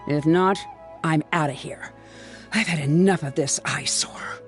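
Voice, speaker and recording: A woman's voice: deep voice, measured pace, faint music behind. A woman playing a proud, bitter researcher in a video game, sardonic and dismissive. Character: proud, bitter female researcher, scornful and blunt.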